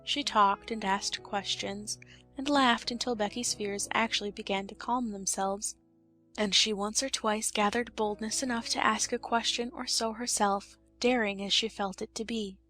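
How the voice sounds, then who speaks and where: A woman reading a children's story as an expressive audiobook narrator. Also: mid-range voice, normal speed, soft background music.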